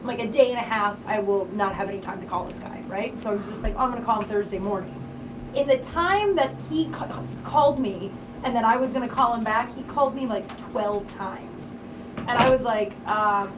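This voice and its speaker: A fairly high-voiced woman tells stories in a blunt, teasing way. She sits a little back from a studio boom mic in a small radio studio. A steady hiss runs under the roomy sound. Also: brisk, recorded from a distance. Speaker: radio show co-host. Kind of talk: casual comedic chat. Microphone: studio boom mic with headphones.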